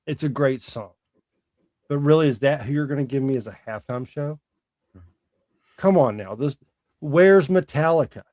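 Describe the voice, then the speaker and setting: A man's voice: medium pitch, normal speed, long pauses, a little mellow, dry recording, clean studio sound. A man in his forties hosting a sports talk show, giving casual, opinionated commentary in an emphatic way with rhetorical questions. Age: 40s. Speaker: sports talk podcast host.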